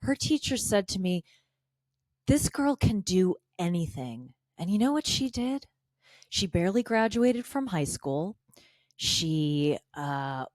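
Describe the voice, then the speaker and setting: A woman's voice: lowish voice, a little mellow, no echo. A woman playing a put-upon, blunt mom. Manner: dry, put-upon, disparaging. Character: blunt, tired middle-aged mother.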